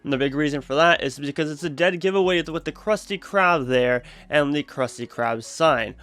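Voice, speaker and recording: Clear-voiced man hosting an upbeat vlog. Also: on the high side, normal speed, fairly bright tone. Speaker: YouTube channel host. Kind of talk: upbeat theme park news vlog.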